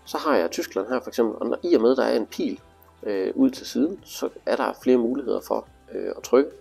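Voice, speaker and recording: A man's voice: mid-range voice, creaky, a little mellow, slightly rough, soft background music. A man giving a casual, hands-on tutorial, explaining things informally step by step. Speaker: instructor demonstrating motorcycle display.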